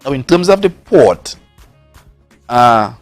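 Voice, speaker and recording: A man from Tobago with a Trinidad and Tobago accent, making a forceful political case on a morning talk show and repeating words for emphasis. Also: mid-range voice, big pitch swings, takes it slowly, faint music behind. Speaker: politician, guest.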